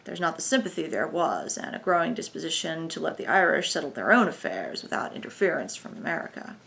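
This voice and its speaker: A man's voice: a little high, sing-song, fast, creaky, sharp tone, full character voice. A woman reading a cautious American middleman. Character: cautious American go-between man.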